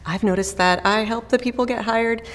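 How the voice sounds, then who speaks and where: A woman's voice: fairly high pitch, moderate pace. Friendly woman recruiter with room echo. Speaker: recruiter in role-play. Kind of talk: scripted role-play phone interview.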